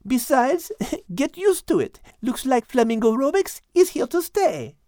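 A small, sweet lady animal from a children's storybook , acted out by a man, speaks in a high, dainty voice. She is affectionate and teasing, with lilting, lively swings of pitch. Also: a little slow, no echo, studio quality, exaggerated voice. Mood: gently coaxing. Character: sweet petite female animal, affectionate and cheerful.

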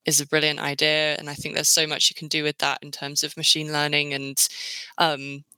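A woman's voice: low-pitched, completely flat delivery, a little fast, sharp tone, smooth, no echo, low-quality recording. A woman from England with a southern English accent, chatting casually as a podcast guest. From Luton, England. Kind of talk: casual chat.

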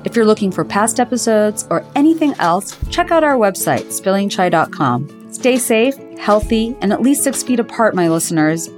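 A woman from Bangladesh hosting a podcast, asking questions and reading a measured, earnest outro. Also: mid-range voice, lively intonation, faint music behind. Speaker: podcast host. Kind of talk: scripted podcast reflection and outro.

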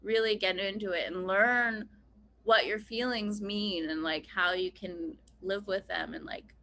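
A woman's voice: mid-range voice, unhurried, sharp tone. A woman in her thirties from Pennsylvania, a podcast guest talking casually with many "like" fillers and easy laughter. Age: 30s. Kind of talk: casual conversation.

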